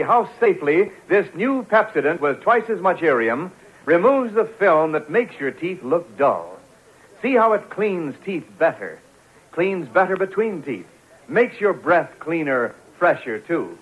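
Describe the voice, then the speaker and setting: High-pitched 1940s radio announcer man. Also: slightly rough. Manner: polished, formal delivery. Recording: muffled 1940s radio broadcast.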